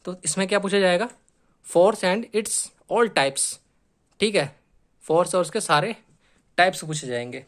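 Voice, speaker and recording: A man's voice: high-pitched, unhurried, pauses often, a bit bright. A man giving an instructional exam syllabus lecture as a coaching teacher, often adding short check-in tags and mixing in English terms.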